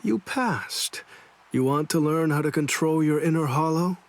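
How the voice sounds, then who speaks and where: A man's voice: fairly high pitch, unhurried. An actor playing a laid-back, sly male swordsman leader in a game cutscene dub, drawling and mocking. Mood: stern.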